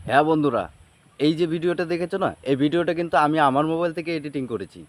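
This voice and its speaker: A man's voice: fairly high pitch, racing, no echo. A man narrating an informal video tutorial, addressing viewers familiarly and often repeating himself and his catchphrases. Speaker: tutorial presenter.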